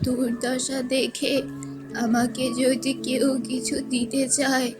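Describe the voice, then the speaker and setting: Woman voicing a high-pitched, clever old village grandmother. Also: level tone, slow pace. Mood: pondering. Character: poor, clever, determined old village mother-in-law. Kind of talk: children's fairy-tale cartoon dubbing. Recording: loud background music.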